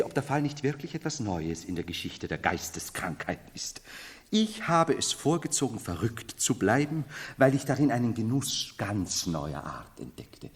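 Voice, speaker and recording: An actor in a 1970s German radio drama playing a bitter, mocking nobleman who feigns madness as a medieval emperor, declaiming theatrically between hush and outcry. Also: a little high, full character voice. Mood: bitter, intense. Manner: declamatory, swinging from hushed to exclaiming. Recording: reverberant hall.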